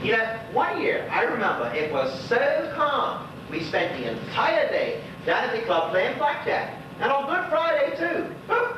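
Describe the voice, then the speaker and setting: A man's voice: extremely high pitch, big pitch swings, a little slow, hardly any pauses, exaggerated voice. Lonely old Bermudian widower, played by a male actor: folksy stage gossip, swooping delivery, distant and heavily echoing recording. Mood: chatty, nostalgic. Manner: rambling, exclaiming, talking to unseen listeners. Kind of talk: stage monologue in dialect. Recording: very echoey stage, distant mic, noisy, dull.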